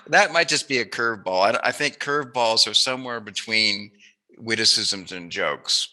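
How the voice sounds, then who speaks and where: A man's voice: medium pitch, sing-song, a little slow, sharp tone. A man hosting a casual chat interview, talking in a playful, jokey, musing way. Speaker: interviewer, host.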